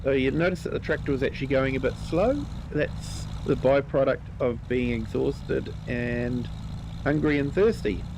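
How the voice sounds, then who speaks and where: A man with a New Zealand accent hosting a live gameplay stream, chatting with viewers in a relaxed way, his voice a little croaky from a cold. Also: medium pitch, normal speed, noisy background. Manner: relaxed, chatty, greets viewers by name, many ums. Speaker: livestream host.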